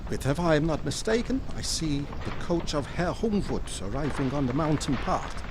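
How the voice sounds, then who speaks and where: A grim, older professor in a gothic radio play. His delivery is formal and commanding, swinging widely in pitch. There is faint noise behind him. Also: sing-song, moderate pace. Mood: solemn. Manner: formal, commanding, tags questions with "yeah". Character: resolute older professor, a grim vampire hunter. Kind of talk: dramatic audio drama acting.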